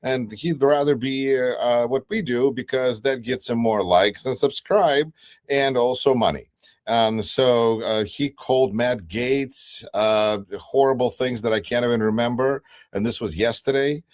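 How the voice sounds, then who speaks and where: A man's voice: mid-range voice, a little slow. A man whose first language is Russian, talking politics casually on a livestream, hesitant with many fillers and restarts. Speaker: guest commentator. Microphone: remote livestream call.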